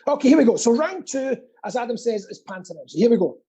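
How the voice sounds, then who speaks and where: A middle-aged British man hosts a live quiz in a husky voice. The tone is mellow, and he banters casually, repeating phrases theatrically for comic emphasis. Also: very high-pitched, sing-song, fast talker. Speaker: quiz co-host and pantomime dame performer.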